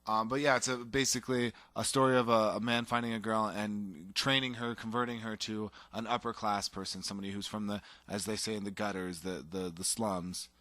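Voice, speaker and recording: A man co-hosts a casual talk show, his voice sitting on the lower side. He talks eagerly, rambling with fillers and restarts. The sound is dry and close, with no room around it. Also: level tone, fairly quick, few pauses, pushed and bright, no echo. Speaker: podcast co-host. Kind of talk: casual chat.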